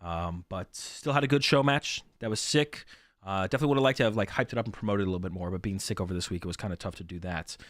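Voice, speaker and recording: Young male streamer, low voice, sick and worn, relaxed rambling host, dry close mic. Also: quick pace, no room sound. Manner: relaxed, rambling, repeats himself. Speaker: streamer hosting a card game show match. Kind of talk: casual stream chat.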